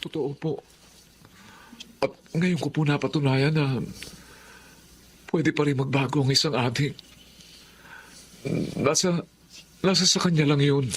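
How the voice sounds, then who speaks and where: A man's voice: on the high side, fairly even intonation, unhurried, long pauses, a little hiss or hum, close to an everyday voice. An actor playing a troubled young husband and father in a Filipino radio drama. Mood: humble. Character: young husband and father, drug user, defiant then remorseful.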